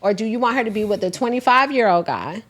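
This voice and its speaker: A woman's voice: a little high, animated, quick pace, a bit bright. Blunt, probing woman. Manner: blunt, pressing with questions. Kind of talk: casual chat.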